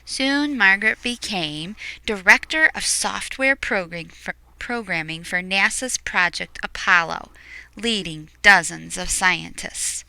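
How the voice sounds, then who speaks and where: A woman reads a children's storybook aloud at an unhurried pace. Her voice is very bright and crisp, recorded close and dry. She is expressive and drops into quieter explanatory asides for young listeners. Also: mid-range voice, no echo, poor audio. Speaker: picture book reader.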